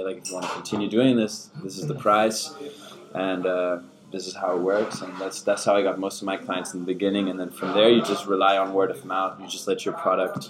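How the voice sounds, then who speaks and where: A young man whose first language is German talks as a podcast guest in a busy bar. His voice sits deep and even with little rise and fall. He answers in a measured way and repeats words as he thinks, while crowd chatter fills the room. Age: 20s. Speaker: podcast guest, fitness coach. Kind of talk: casual interview answers.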